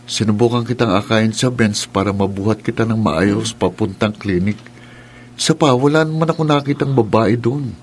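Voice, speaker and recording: A man's voice: fairly low pitch, moderate pace, a little hiss or hum, close to an everyday voice. An actor playing a concerned young man in a horror radio drama, explaining how he carried his fainted friend.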